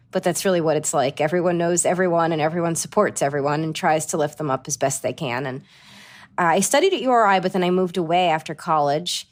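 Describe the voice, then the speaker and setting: A woman in her twenties from Rhode Island, answering interview questions in an earnest, talkative way with quick self-corrections. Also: fairly low pitch. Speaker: actress and guest.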